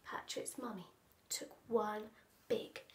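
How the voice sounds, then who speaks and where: A woman in her thirties reading a children's story aloud in an expressive, dramatic manner. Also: mid-range voice, slow. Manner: expressive, dramatic, with stage-whispered asides. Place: small room at home, indoors.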